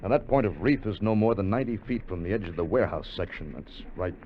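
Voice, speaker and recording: A low-pitched, earnest expedition leader played by a man, careful in explaining and given to hesitant starts. The 1950s radio acting comes through a muffled recording with slight noise. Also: lively intonation, quick pace, close to an everyday voice. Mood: earnest, purposeful. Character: Fletcher Travis, earnest, cautious leader of a treasure salvage voyage. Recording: dull, faintly noisy vintage radio recording.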